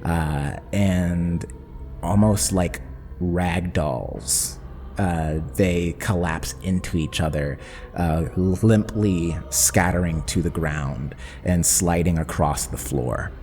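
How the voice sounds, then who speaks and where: A man with a very deep voice runs a fantasy tabletop game. He narrates slowly and dramatically in short phrases, with long reverb around his voice. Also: darkish tone. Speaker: game master. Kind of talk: narrating a tabletop actual play podcast. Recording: long reverb, clear background noise.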